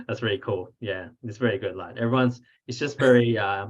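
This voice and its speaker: A man's voice: on the low side, quick pace. A man from Australia with an Australian accent, hosting a podcast casually, chatty with lots of "like" fillers. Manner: chatty, lots of "like" and small laughs. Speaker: podcast host. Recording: slightly dull, lo-fi audio.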